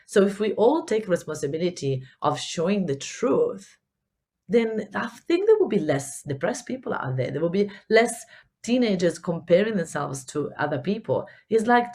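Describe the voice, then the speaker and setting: A middle-aged Italian woman with a mixed accent that carries a Spanish touch. Her voice sits on the low side, and she talks earnestly and encouragingly, doubling up words as she hunts for them. She is hosting a solo business podcast. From Italy. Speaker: podcast host, business coach.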